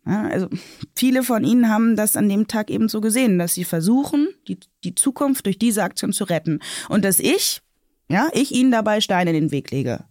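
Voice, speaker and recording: Woman acting as police officer being interviewed, thoughtful, halting, explains stuff, dry studio sound. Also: mid-range voice, expressive, a little mellow, dry recording, lightly acted. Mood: earnest. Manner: hesitant, explanatory, repeats words, tags with "ja". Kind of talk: scripted radio drama interview.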